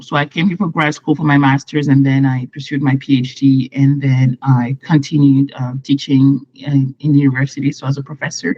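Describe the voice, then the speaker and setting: A woman's voice: low-pitched, a little mellow, husky, no echo. This is a woman in her thirties from Morocco, speaking as a guest over a video call. Her voice comes through a little dull and lo-fi, very dry with no room sound. She talks at length, circling back and restarting her sentences as she explains.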